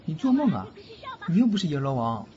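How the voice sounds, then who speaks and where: A man's voice: on the high side, very animated, brisk, dark tone. A man playing a teasing godfather versed in spirit magic, in a theatrical audio drama style. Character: teasing, age-shy godfather who knows spirit magic. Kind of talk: Chinese audio drama acting. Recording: muffled lo-fi, faint noise.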